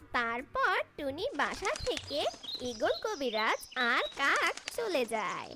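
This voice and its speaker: A woman narrating a scripted children's story as its storyteller. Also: sits high.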